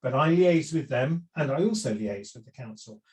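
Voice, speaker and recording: A man's voice: medium pitch, animated, moderate pace, darkish tone. A middle-aged man giving a seminar talk on property investment, conversational, with frequent fillers and restarts. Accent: none Welsh. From lived in South Wales for years.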